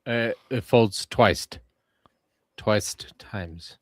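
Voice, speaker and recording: Low voiced guy talking slow about a shotgun on a hunting stream. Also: no echo, clean studio sound. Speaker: podcast co-host. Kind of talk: casual chat.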